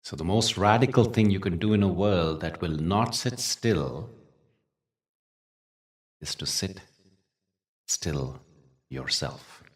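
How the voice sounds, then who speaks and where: A man leading a guided meditation as a teacher, speaking gently in short phrases with long pauses. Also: sits low, lively intonation, distant mic. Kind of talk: guided meditation with spiritual talk.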